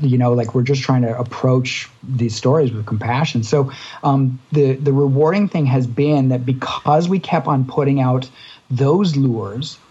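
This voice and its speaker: Canadian-raised guy cohosting a podcast, husky voice, muffled lo-fi sound, rambles on. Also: mid-range voice, mellow. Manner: rambling, many restarts and fillers. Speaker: podcast co-host. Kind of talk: casual interview chat.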